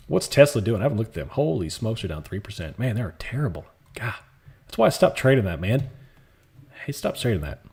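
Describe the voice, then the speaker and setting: A man hosting a live stream, chatting casually and calmly while answering viewer comments in a soft, laid-back way. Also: lowish voice, normal speed, vocal fry, long pauses, breathy edge. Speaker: livestream trading host.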